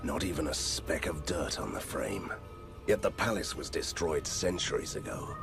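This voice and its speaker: Gruff deadpan monster hunter, super deep voice, video game, loud music behind. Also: male voice, moderate pace, full character voice. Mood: dry, deadpan. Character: grim, dry-witted male monster hunter.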